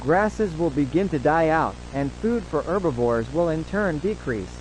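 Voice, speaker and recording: Higher-pitched solemn man as an emergency broadcast announcer, hissy. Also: expressive, a little mellow, rough recording. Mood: solemn, instructive. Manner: formal, measured, solemn. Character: solemn, formal male government emergency announcer. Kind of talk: scripted emergency broadcast narration.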